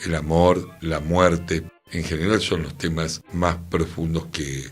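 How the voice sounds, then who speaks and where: A man with a Rioplatense Argentine accent in a reflective radio discussion, speaking in measured, emphatic phrases that trail off at the ends. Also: low-pitched, fairly even intonation, breathy edge, faint music behind. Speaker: radio talk participant. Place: radio studio.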